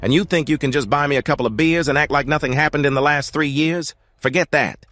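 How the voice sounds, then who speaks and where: A man voicing an angry young adult daughter who shouts accusations at her father. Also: quick pace, dry recording, natural voice. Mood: bitter, accusing. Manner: shouting, accusing, higher pitched. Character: furious young adult woman, bitter daughter.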